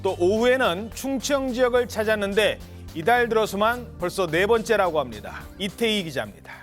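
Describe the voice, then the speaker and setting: A male TV news anchor introduces reports and questions his panel in a formal, measured way. His voice is fairly high for a man. Soft music runs faintly underneath. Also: lively intonation.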